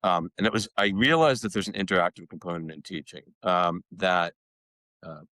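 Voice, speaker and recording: A man's voice: sits low, normal speed, no echo. A man in his sixties, originally from Boston, telling stories of his career as a guest in a reflective way, often repeating words. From Boston, United States. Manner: warm and reflective, with repeated words and "you know". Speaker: guest, educational consultant. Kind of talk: conversational storytelling.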